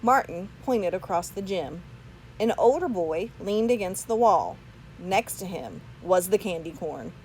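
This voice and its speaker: A woman narrating a children's story aloud in an expressive read-aloud style. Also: lowish voice, measured pace, slight background noise, poor audio.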